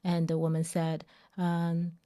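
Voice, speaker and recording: A woman hosting an interview podcast in a conversational way, haltingly searching for words and often checking in with tags. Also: on the low side, monotone, dark tone. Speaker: podcast host.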